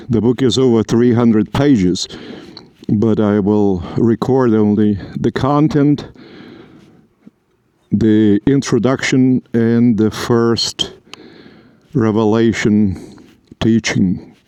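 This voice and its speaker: A man whose first language is Lithuanian gives a spiritual teaching in slow, halting short phrases while walking outdoors on a city street. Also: on the low side, warm tone, clean tone, no room sound. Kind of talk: spoken spiritual teaching on a walk. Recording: noisy street recording. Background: traffic and roadworks.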